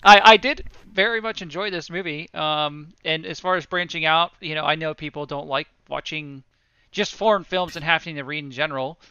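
A bright, clear-voiced man in his thirties rambling through his take on a film, full of ums, swearing and self-deprecating asides. The recording is dry and a little dull. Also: on the high side, normal speed, no echo. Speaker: podcast co-host. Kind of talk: casual movie review chat.

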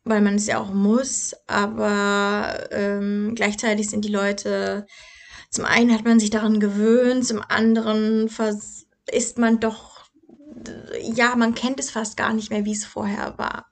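A woman's voice: mid-range voice, level tone, measured pace. A young woman hosting her own podcast, talking in a casual personal monologue, hesitant with many fillers and restarts. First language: German. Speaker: podcast host.

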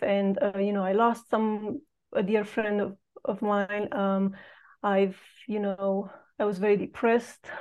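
A woman in her late thirties from Romania, a podcast guest telling her personal story in a halting, reflective way with many pauses. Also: medium pitch, darkish tone.

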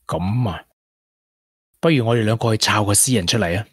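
An actor playing a supportive, sensible young boyfriend in a Hong Kong Cantonese radio drama. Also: male voice, on the low side, a little fast, fairly bright tone, slightly rough, no echo, studio quality. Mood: resolute.

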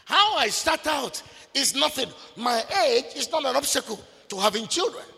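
A man preaches in a very high, gravelly voice, pressing each point home with repetition and sudden shouts. His sermon rings out with the long reverb of a large hall. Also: lively intonation, moderate pace. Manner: emphatic, repetitive, bursts of shouting.

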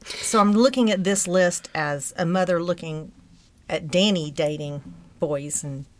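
A woman's voice: fairly low pitch, measured pace. A middle-aged woman from Alabama, chatting casually and joking on a podcast as her daughter's guest. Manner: joking, teasing. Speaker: guest, host's mother.

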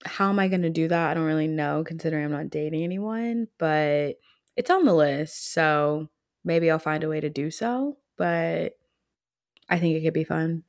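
A woman in her twenties co-hosting a podcast, chatting in a relaxed, reflective way and laughing often. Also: low voice, moderate pace, no room sound, clean studio sound. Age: 20s. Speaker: podcast co-host. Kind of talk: casual chat.

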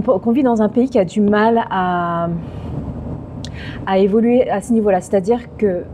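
A woman's voice: mid-range voice, background noise. A woman co-hosting a casual podcast conversation, hesitant and searching for her words. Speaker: podcast co-host.

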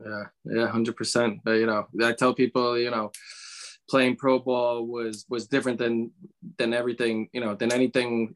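Flat-toned man reminiscing casually. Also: mid-range voice, rough recording. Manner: repeats "you know" often. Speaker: podcast guest, former professional outfielder.

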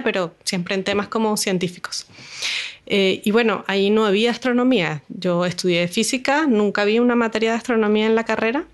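A woman from Venezuela with a Venezuelan Spanish accent, a guest astronomer explaining things patiently, step by step, in an interview. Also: medium pitch.

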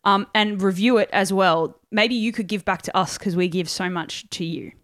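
A young woman co-hosting a casual pop culture podcast, chatty and quick to laugh, with lots of fillers. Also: medium pitch, fairly bright tone, dry recording, studio quality. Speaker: podcast co-host. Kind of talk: casual chat. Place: home studio at night.

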